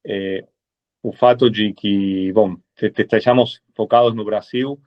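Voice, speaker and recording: A man from Argentina with an Argentine Spanish accent, a lawyer speaking as a guest in a webinar, measured and full of hesitant fillers. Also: on the low side, steady tone. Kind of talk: webinar presentation. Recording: dull lo-fi webinar audio.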